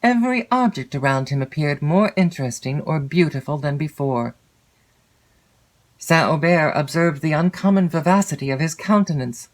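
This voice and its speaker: A woman reading literary fiction as an audiobook narrator, in a measured, expressive storytelling manner. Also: deep voice. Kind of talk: scripted literary narration of a classic novel.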